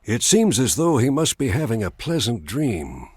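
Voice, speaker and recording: A solemn doctor in a video game drama. His husky voice moves up and down expressively while staying grave and measured. The sound is dry and close. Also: medium pitch, very animated, dry recording. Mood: reflective. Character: solemn, softly apologetic male doctor. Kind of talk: video game cutscene voice acting.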